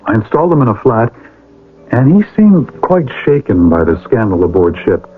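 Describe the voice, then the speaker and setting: An actor playing an earnest, righteous older brother, theatrical and formal in an old-time radio drama. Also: male voice, on the low side, sing-song, normal speed. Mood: earnest, confessional. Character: earnest, righteous older brother, a pleading moralist, narrating his past. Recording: noisy, tinny vintage radio recording.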